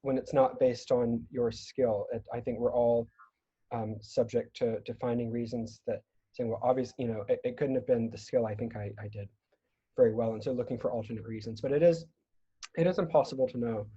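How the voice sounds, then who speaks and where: A man's voice: mid-range voice, steady tone, brisk, warm tone, slightly rough. A man moderating a casual discussion over a video call, asking questions in a tentative, meandering way. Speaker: panel moderator. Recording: dull, lo-fi video call audio.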